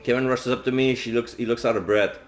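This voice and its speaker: A man's voice: medium pitch, fairly even intonation, quick pace. Male game streamer, medium register, narrates visual novel text with casual asides, light background music. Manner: dramatic reading mixed with offhand asides. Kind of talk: reading visual novel aloud, casual commentary. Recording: game music faintly behind. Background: video game music.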